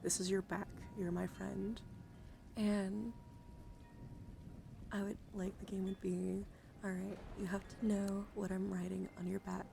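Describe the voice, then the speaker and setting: Casting: female ASMR stream host, gentle and breathy, slipping into whispers, noticeable vocal fry, clear background noise. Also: mid-range voice, flat delivery, a little slow, long pauses. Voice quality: breathy soft-spoken, often dropping to a whisper. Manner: gentle, affectionate, attentive to viewers. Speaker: livestream host. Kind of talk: ASMR livestream, casual chat to viewers.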